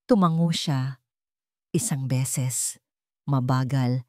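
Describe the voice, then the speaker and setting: A woman narrator reading a scripted dramatic story in deliberate, short phrases. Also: low-pitched, mellow, no room sound, studio quality.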